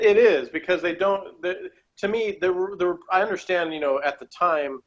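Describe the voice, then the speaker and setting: A man chatting casually as a panel reviewer of a TV episode, halting and repeating words as he argues his point. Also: mid-range voice. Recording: slightly dull, lo-fi sound.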